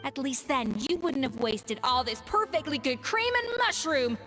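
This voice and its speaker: Sharp, haughty female chef. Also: sits high, big pitch swings, normal speed, faint music behind. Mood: scathing. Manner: cutting, scolding. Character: stern, exacting female chef, scathing and haughty. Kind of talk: game dialogue acting.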